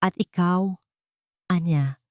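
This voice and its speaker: Casting: icy rich old matriarch, slow and authoritative, story melodrama, as a female narrator plays her. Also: fairly low pitch, a little mellow, dry recording, studio quality. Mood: cold contempt. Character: cold, haughty, commanding elderly rich mother-in-law.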